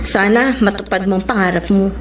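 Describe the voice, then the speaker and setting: An actress playing a nosy but well-meaning young female friend in a radio drama, with an expressive manner. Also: mid-range voice, fairly quick, lightly acted. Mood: sympathetic. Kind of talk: Filipino radio drama acting. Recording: echoey room, clear background noise.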